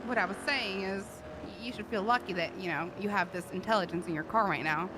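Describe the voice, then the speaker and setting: A woman improvising casual in-character chat in a role-play game, talking in an animated, chatty way. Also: mid-range voice, fast talker. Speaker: role-play gamer voicing a car passenger. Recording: clear car engine noise.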